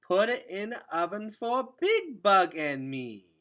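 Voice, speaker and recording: Storybook toddler girl, played by a man: high, bright, singsong baby talk, playful and affectionate. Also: huge pitch swings, measured pace, full character voice. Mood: playful singing. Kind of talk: children's novel read-aloud.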